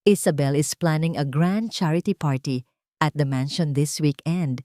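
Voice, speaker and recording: A woman voicing a calm, resolute millionaire husband with a grave, weighty manner in an audio drama. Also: low voice, measured pace, no room sound, clean studio sound.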